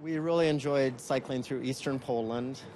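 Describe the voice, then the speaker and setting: A middle-aged man, a guest answering interview questions in a relaxed, easygoing way. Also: medium pitch, normal speed. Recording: clear traffic noise.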